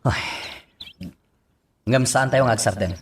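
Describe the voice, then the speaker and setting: An actor playing a dutiful, authoritative police chief in an Ilocano radio drama. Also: male voice, mid-range voice, slow pace, very noisy, close to an everyday voice. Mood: businesslike.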